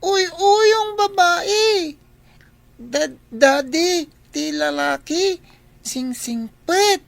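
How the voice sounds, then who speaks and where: A timid little boy in a radio drama, played by a woman, with a very high, gravelly voice and a very slow, faltering way of speaking. He stammers over his words and sounds close to tears. The recording is dry and close. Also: lively intonation, dry recording, full character voice. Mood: hesitant. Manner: hesitant, stammering, near tears.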